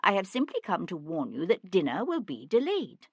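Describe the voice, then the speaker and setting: A woman voicing a calm young gentlewoman in an audiobook, composed and matter-of-fact. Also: fairly low pitch, moderate pace, vocal fry, dry recording, heavily acted. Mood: unruffled. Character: calm, unruffled young gentlewoman, cool daughter.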